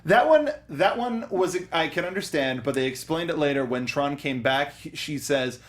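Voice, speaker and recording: A young man co-hosting a casual movie review chat, animated and breaking into goofy voices when he acts out reactions. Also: fairly high pitch, moderate pace. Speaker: podcast co-host.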